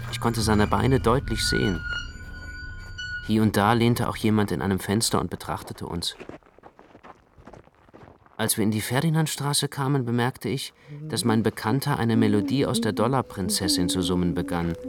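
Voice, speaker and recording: A man reading literary first-person narration in a measured, carefully phrased way. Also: fairly low pitch.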